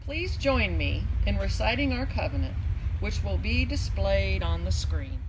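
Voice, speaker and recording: Clear background noise sits behind a woman at a middle pitch. She reads liturgy as a worship associate, very slowly and solemnly. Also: big pitch swings.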